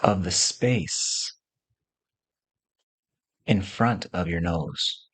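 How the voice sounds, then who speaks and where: Low, husky man guiding meditation. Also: very slow, dry recording. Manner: gentle instructing in short, spaced phrases. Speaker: guided meditation teacher. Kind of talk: slow guided meditation.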